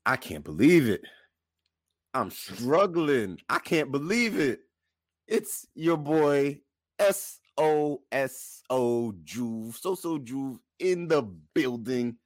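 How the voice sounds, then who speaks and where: Male podcast co-host, casual and emphatic, highly animated intonation, dry sound. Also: takes it slowly, dry recording. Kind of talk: casual banter.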